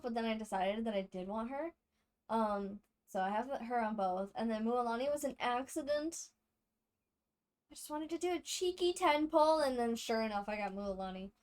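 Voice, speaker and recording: A woman livestreamer giving casual, chatty gameplay commentary to her viewers, speaking off the cuff. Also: fairly high pitch. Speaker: game livestreamer.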